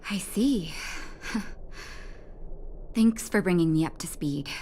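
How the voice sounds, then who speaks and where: A composed, gracious clinic doctor from a video game, speaks soothingly in a somewhat low register. There is faint ambient noise behind her. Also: takes it slowly, dark tone. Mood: gracious, caring. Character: gentle, composed, caring adult female clinic doctor. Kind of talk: video game character dialogue.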